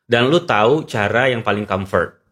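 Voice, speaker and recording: Male podcast guest, low voice, Jakarta accent, casual explainer mixing in English terms. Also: moderate pace, fairly bright tone, slightly rough. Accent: Jakarta Indonesian. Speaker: podcast guest, psychology commentator.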